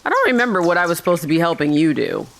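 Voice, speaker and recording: A woman's voice: on the low side, sing-song, rapid-fire, vocal fry, slight background noise. A woman hosting a game playthrough with casual commentary, playful, irreverent and chatty. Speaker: gamer host of a playthrough.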